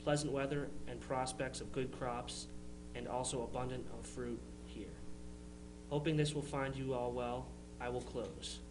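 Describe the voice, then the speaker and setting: A man's voice: medium pitch, steady tone, a little slow, lightly acted. A man as an earnest 1860s brother, noisy recording. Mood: plain, hopeful. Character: earnest young 1860s New England brother writing home. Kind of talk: dramatized reading of historical letters. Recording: noisy live room recording with hum.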